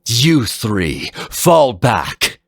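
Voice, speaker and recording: A man voicing a stern, proud guardian leader in a formal, deliberate, threatening way for a dramatic story. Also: mid-range voice, big pitch swings, creaky, dry recording, studio quality, pitched above the performer's own voice, exaggerated voice. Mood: seething, commanding. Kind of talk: dramatic fan fiction reading.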